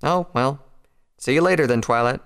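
A man's voice: medium pitch, moderate pace, creaky, smooth, voice pushed up, lightly acted. A man voicing a plain-spoken, sober female country pony soldier with a blunt, folksy manner. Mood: curious.

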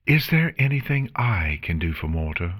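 A man voicing a calm, kind royal pony princess who speaks formally. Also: sits low, normal speed, full character voice. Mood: gentle.

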